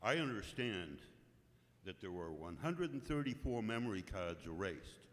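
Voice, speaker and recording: A man with a booming voice, blunt and indignant, testifying as a citizen at a public hearing. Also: big pitch swings, moderate pace, fairly bright tone. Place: legislative committee hearing room. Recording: long hall reverb, off-mic at times.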